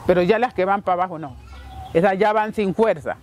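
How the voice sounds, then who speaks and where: A man, explaining marimba making slowly and repetitively in a documentary interview. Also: high voice, a little hiss or hum. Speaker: marimba maker.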